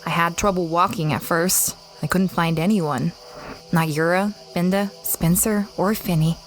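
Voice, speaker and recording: A woman's voice: lowish voice, fairly even intonation, a little slow, a little hiss or hum, natural voice, low-quality recording. A woman playing a dreamy space explorer who recounts her adventures in a reflective, conversational way, as audio drama narration.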